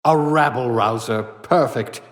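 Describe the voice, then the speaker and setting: An actor voicing an eccentric older mad scientist in a video game, sardonic and theatrical, given to wry muttered asides. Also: male voice, medium pitch, a little slow, lightly acted. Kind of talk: video game character voice acting. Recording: echoey hall-like reverb.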